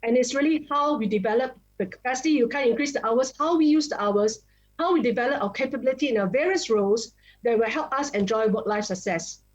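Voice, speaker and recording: A woman's voice: on the high side, quick pace, muffled recording. A woman speaking as a panelist in an online forum, giving upbeat, list-like motivational tips. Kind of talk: motivational closing remarks. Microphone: video call.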